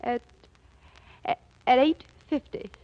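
A woman's voice: slow pace, close to an everyday voice. A woman playing an anxious, guarded widow under questioning in a 1940s radio drama, hesitant and earnest. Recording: muffled, dry 1940s radio recording.

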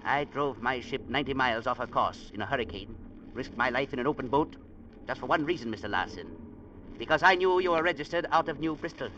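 Gruff, stubborn ship's captain, blunt and folksy, mid-pitched, old radio adventure style, heavy broadcast noise. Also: male voice, animated, pushed and bright, dull audio. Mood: stubborn, resolute. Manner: blunt, salty, folksy speech with deliberate emphasis. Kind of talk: 1940s-50s radio drama acting.

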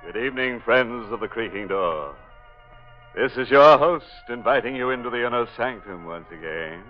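A man's voice: mid-range voice, lively intonation, moderate pace, close to an everyday voice. A man playing a gleefully macabre horror host in 1940s radio style, theatrical and mock-sinister. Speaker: host and narrator. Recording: muffled old radio recording, faint music.

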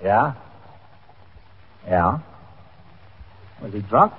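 An actor playing a brisk, businesslike police desk sergeant in a 1950s radio police drama. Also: male voice, fairly low pitch, lively intonation, breathy edge, full character voice. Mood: brisk, patient. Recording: noisy, tinny old 1950s radio broadcast.

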